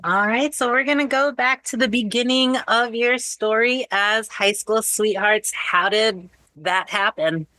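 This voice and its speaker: A woman's voice: on the high side, measured pace, few pauses, bright, forceful tone, no echo. A woman co-hosting a podcast, chatty and bubbly, often laughing as she talks with her guests. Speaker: podcast co-host. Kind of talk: casual podcast interview.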